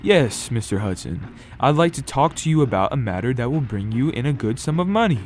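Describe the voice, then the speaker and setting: A man's voice: medium pitch, sing-song, fairly quick, darkish tone, close to an everyday voice. A man playing an impatient, commanding lawyer father in a radio drama. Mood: businesslike. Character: impatient, businesslike lawyer father from New York. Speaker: amateur radio drama actor.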